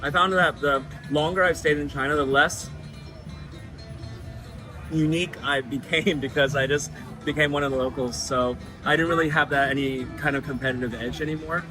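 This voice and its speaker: A man from the United States, a guest chatting casually in an interview, relaxed and full of fillers. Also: on the high side, normal speed, music in the background. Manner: relaxed, frequent fillers and laughs.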